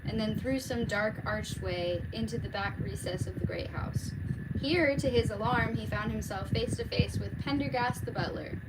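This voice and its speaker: A woman's voice: mid-range voice, normal speed, heavy background noise. A woman reading a storybook aloud as narrator. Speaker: reader, streamer.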